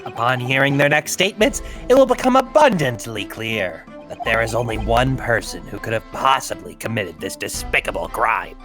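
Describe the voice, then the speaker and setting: A man acts out a pompous video game prosecutor, smug and long-winded. His delivery is theatrical and swings widely in pitch, with music playing clearly behind it. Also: big pitch swings, moderate pace, few pauses, a bit bright. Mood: smug, accusing. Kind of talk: live comedic fan dub of a video game.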